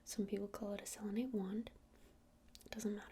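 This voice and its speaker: A woman playing a friendly witch shopkeeper in an ASMR roleplay, speaking in a soft breathy whisper with hesitant, informal explanations. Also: medium pitch, level tone, fairly quick, slight background noise, natural voice. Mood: gentle, helpful.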